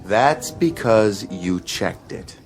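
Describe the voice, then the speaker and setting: An actor playing a gruff, impatient bus depot ticket clerk in a radio drama, curt and sardonic. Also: male voice, lowish voice, expressive, takes it slowly, faint music behind. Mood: dry, impatient.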